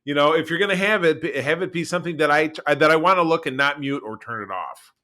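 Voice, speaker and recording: A man co-hosting a podcast in casual, opinionated chat, animated and emphatic, often repeating his phrases. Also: fairly high pitch, fast talker. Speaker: podcast co-host.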